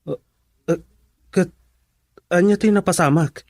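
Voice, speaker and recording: A man's voice: high-pitched, unhurried, a little mellow, dry recording, studio quality. A man playing an affectionate, earnest boyfriend in a radio drama, speaking tenderly with an Ilocano accent. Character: devoted boyfriend, documentary cameraman, affectionate and earnest.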